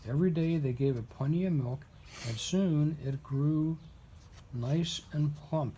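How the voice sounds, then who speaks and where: A man reading a storybook aloud as a father would, with deliberate, emphatic storytelling. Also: fairly high pitch, dark tone, a little hiss or hum.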